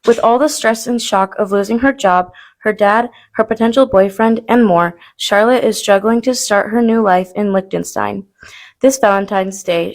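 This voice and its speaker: A woman reading scripted narration for an amateur radio play. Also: medium pitch. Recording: music playing clearly behind the voice.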